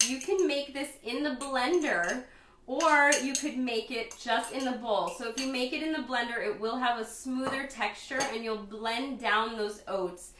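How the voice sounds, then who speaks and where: A slightly hoarse woman hosting a casual cooking demonstration, chatty and upbeat as she talks straight to viewers. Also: a little high, pushed and bright. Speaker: cooking show host and chef. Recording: roomy echo, distant microphone.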